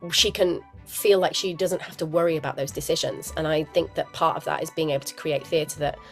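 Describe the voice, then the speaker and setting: Woman, husky from a cold, enthusiastic, lowish voice, faint music behind. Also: steady tone, brisk, low-quality recording. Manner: enthusiastic, chatty, laughs often. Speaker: musical theatre actress, guest; parent.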